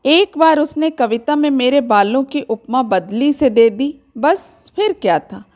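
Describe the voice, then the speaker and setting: A woman reading a short story aloud in expressive first-person narration, speaking to listeners in a conversational, direct way. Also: sits high, measured pace.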